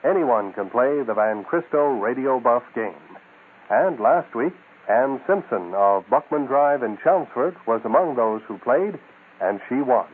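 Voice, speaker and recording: A man's voice: mid-range voice, lively intonation, a little slow, breathy edge, phone-quality sound. A man hosting a radio show, reading scripted promotional announcements on a thin radio recording.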